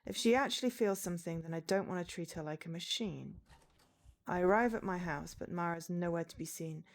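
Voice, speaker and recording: A woman's voice: lowish voice, a little fast. A woman game streamer chatting live and reading game text aloud, breaking off for exasperated asides.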